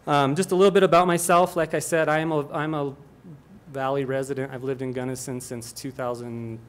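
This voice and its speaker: A man's voice: medium pitch, little pitch movement, brisk, a little hiss or hum. A middle-aged man from Colorado giving an earnest, plainspoken public comment at a meeting, often restarting his sentences.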